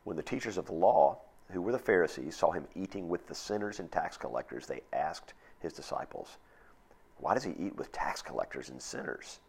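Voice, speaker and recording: A male pastor with a hoarse voice delivers a relaxed, conversational sermon full of folksy asides. His voice sits extremely low and sounds husky. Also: brisk.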